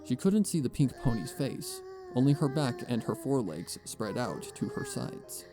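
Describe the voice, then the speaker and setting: A man reads a scripted story as its narrator. His mid-pitched voice moves up and down in a lively, expressive storytelling way. Music plays clearly behind him. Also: normal speed.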